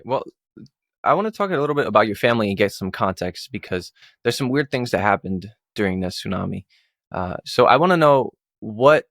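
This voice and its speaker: A man's voice: on the low side, no room sound, studio quality. A man hosting a podcast, asking a guest questions in a hesitant, rephrasing way. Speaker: podcast host. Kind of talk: interview questions.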